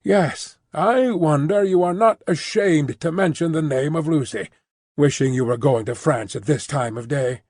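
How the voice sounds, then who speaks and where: A man's voice: on the high side, normal speed, a little mellow, no echo, clean studio sound, lightly acted. Man voicing an emphatic, loyal old English banker. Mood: scolding. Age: late seventies. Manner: emphatic, old-fashioned, wordy phrasing. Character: elderly English banker, loyal, brisk, cheerfully stubborn. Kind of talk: audiobook character dialogue.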